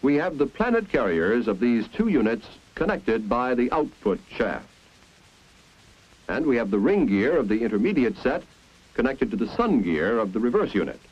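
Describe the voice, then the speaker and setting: An American man reading scripted 1950s instructional film narration in a clear, deliberate, explanatory manner. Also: medium pitch, big pitch swings. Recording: dull, band-limited 1950s film soundtrack.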